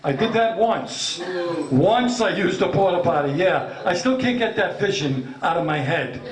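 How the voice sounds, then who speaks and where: A man's voice: sits high, animated, normal speed, crowd noise. A man in his late fifties with a New York accent doing a stand-up comedy set, brash and self-mocking, swearing freely and acting out the voices he quotes. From Long Island, New York. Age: 50s. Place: comedy club stage before a laughing audience. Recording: echoey club room, loud background noise.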